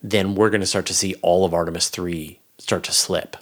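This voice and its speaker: A man's voice: sits low, steady tone, moderate pace. A man speaking as an expert podcast guest, giving casual, emphatic explanations in an informal way.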